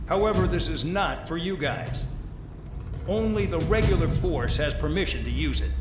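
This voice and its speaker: An actor voices a cold male military officer delivering a game mission briefing. The voice sits fairly high for a man and is clipped and stern. It echoes, with clear music underneath. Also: lightly acted. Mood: contemptuous.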